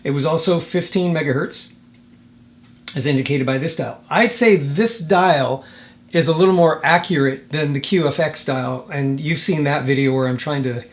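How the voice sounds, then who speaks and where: A man giving a casual hands-on product demonstration, thinking aloud in a halting way. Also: fairly high pitch. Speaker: product reviewer.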